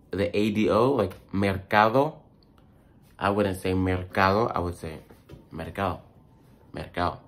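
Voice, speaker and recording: A man with a fairly low voice hosts an informal pronunciation lesson. He is a native English speaker who explains foreign-language sounds in loose, slangy talk. He often repeats a word to demonstrate it. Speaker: host of a language tips video. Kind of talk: casual vlog-style pronunciation lesson.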